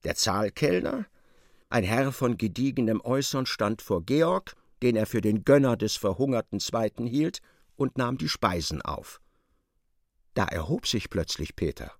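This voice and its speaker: Casting: articulate man for literary radio-play narration, lively and expressive, dry close-miked studio delivery. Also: mid-range voice, a little slow, dry recording. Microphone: close studio microphone.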